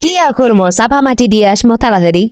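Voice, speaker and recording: A woman's voice: on the high side, expressive, fast talker, natural voice. A woman voicing a quarrelsome, defiant village wife in a comic cartoon, curt and scolding, with a North Bengal accent. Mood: callously defiant. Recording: slightly noisy recording with clicks.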